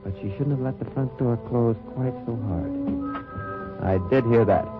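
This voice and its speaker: A man playing a cool, watchful undercover agent who narrates his wartime mission in measured, wry radio drama style. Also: mid-range voice, natural voice. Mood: suspenseful, watchful. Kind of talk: 1940s-50s radio drama acting, first-person narration. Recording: muffled old-time radio recording with music.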